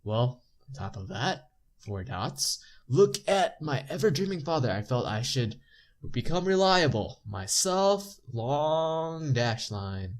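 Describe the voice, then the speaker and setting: A man with a stuffy nose reading visual novel narration aloud as a let's play narrator, adding casual commentary. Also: medium pitch. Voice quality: stuffy-nosed. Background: game music.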